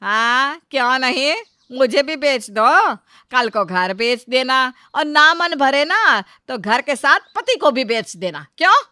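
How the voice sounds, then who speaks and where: Bossy, sarcastic Indian mother-in-law for a comic family story, acted by a woman; sharp, forceful, higher voice, close dry sound. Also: very animated, takes it slowly, no room sound, full character voice. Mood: sarcastic, indignant. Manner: scolding, sarcastic, dramatic.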